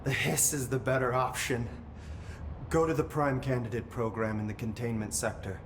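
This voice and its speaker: An actor with an American accent playing an unhinged, possessed younger brother in a game cutscene, resentful and trance-like, drifting into cryptic sing-song phrases. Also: male voice, mid-range voice, fairly even intonation, normal speed, noisy background, close to an everyday voice. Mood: calm, insistent. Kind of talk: video game cutscene acting. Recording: echoey room, loud background ambience.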